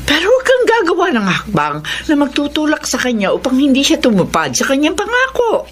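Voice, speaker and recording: A woman's voice: high voice, wildly swooping pitch, a little fast, fairly bright tone, full character voice. An actress playing an older mother who cautions and reassures her grown son in a Filipino radio drama. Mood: cautioning, reassuring. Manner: advising, reassuring.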